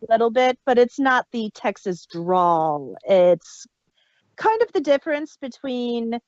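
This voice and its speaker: A woman's voice: on the high side, big pitch swings, measured pace, a little mellow, no room sound, muffled recording. A woman from the Chicago area with a Midwestern American accent, co-hosting a podcast in casual chat with dry, punning humor. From Chicago area, United States. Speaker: podcast co-host.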